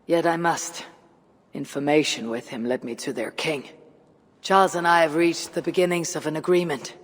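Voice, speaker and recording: An actor playing a calm, determined Viking warrior in a video game cutscene. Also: male voice, fairly high pitch, moderate pace, lightly acted. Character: steady male Viking warrior, calm and resolute. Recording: game audio with reverb and music.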